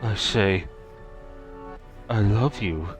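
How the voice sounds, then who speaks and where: Sincere young romantic lead for a dating game , male actor: lowish, earnest, hesitant. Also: very slow, over music. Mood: smitten, hopeful. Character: smitten, sincere young culinary student protagonist. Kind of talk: comic dating-sim voice acting.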